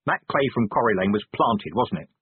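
A man voicing a weary, gruff middle-aged police commander with a sardonic edge. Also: fairly low pitch, expressive, a little fast, dry recording, narrow, tinny audio.

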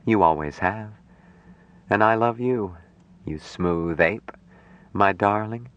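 A man's voice: fairly low pitch, takes it slowly, a bit bright, clean tone, dull audio. Man putting on a worried, affectionate young woman.

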